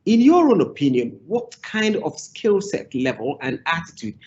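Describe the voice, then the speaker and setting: A man moderating a webinar panel, thanking speakers warmly and introducing guests formally. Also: on the high side, sing-song, moderate pace. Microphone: video call. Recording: dull lo-fi video call audio.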